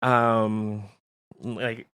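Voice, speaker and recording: A man co-hosting a radio show, chatting casually in an agreeable, measured manner. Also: lowish voice, little pitch movement, fairly bright tone, clean tone, no echo, studio quality. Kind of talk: casual chat.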